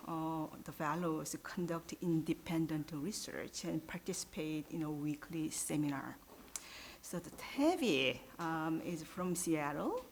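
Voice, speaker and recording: A woman's voice: low-pitched, a little mellow, slight background noise. A woman moderating an academic panel, reading out speaker introductions and stumbling a little. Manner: reads out bios, stumbles a little.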